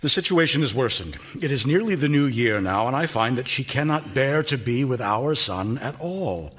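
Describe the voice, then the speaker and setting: Actor as a stern Victorian husband, formal, exasperated. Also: male voice, mid-range voice, expressive, moderate pace, a bit bright, close to an everyday voice. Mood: harried, worried. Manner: formal, earnest, controlling. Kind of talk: theatrical radio drama acting.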